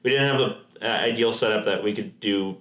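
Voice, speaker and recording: A man's voice: fairly low pitch, brisk, a bit bright. A man co-hosting a podcast, chatting casually and enthusiastically, often restarting his sentences. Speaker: podcast co-host. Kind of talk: casual chat. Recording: roomy echo.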